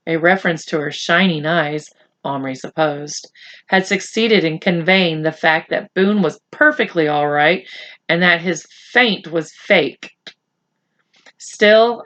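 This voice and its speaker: A woman reading a children's novel aloud to young listeners as an expressive storyteller. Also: on the low side, muffled recording.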